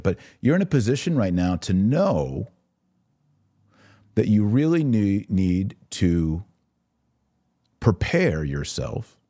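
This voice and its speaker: A man's voice: low-pitched, warm tone, slightly rough. A middle-aged man giving religious commentary as a podcast host, earnest and emphatic, stressing key words as he addresses viewers directly. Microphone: webcam setup.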